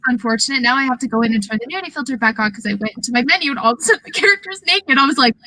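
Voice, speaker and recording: A woman chatting casually about video games on a podcast, informal and halting as she thinks aloud. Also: sits high, lively intonation, pushed and bright, poor audio. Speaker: podcast panelist.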